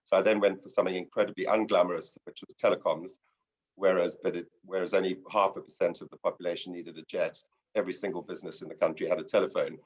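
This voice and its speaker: A man in his forties from South Africa, a guest on a webinar interview, telling his story at an unhurried pace over a video call. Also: low-pitched, steady tone, slightly rough. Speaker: guest expert. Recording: dull lo-fi video call audio.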